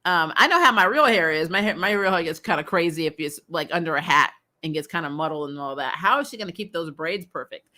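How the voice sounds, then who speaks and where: An American woman hosting a casual recap show, chatting in a blunt, animated, opinionated way with informal language. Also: deep voice, fast, hardly any pauses. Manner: animated, frequently laughing, blunt and informal with mild swearing. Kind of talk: casual opinionated fan chat, reading and answering comments.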